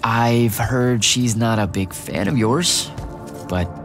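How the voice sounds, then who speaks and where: A man's voice: medium pitch, takes it slowly. A man playing an earnest, uneasy young hero in a video game, speaking casually and a little hesitantly. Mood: anxious, earnest. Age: young adult. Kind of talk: video game cutscene acting. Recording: loud music over the voice.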